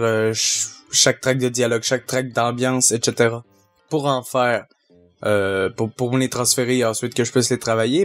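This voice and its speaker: A young man with a Québécois accent explains his sound work in a steady, hesitant way, pausing on fillers. His voice sits at an ordinary pitch for a man. Faint music plays behind him. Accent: Québécois French. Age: young adult. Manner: measured, hesitant with many fillers. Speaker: sound mixer. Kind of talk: casual Q&A answers.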